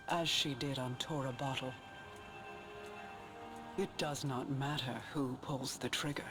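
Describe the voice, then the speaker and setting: A man playing a grave, commanding figure in a video game, speaking formally and portentously. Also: a little high. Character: grave, commanding bearer of grim tidings. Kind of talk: scripted video game dialogue.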